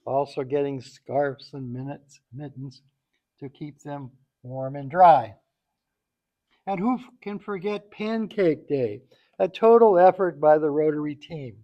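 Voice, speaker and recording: A man's voice: a little high, takes it slowly, lo-fi sound. A man reading a rhyming tribute poem aloud to a group at a banquet, stumbling now and then over his words. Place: banquet event, speaking to a group. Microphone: event microphone.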